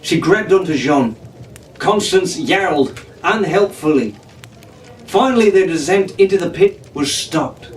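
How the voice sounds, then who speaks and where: A man's voice: high-pitched, expressive, a little slow. A man reading a pirate adventure story aloud as a dramatic storyteller. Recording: echoey room, distant mic, faint noise.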